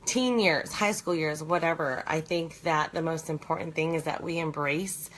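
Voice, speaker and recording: A slightly hoarse woman in her thirties, chatting earnestly on a live stream from her bed at home, rambling with many fillers and restarts. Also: low-pitched, normal speed, fairly bright tone, a little hiss or hum, poor audio. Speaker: host of a live video challenge. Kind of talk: casual chat on a live stream.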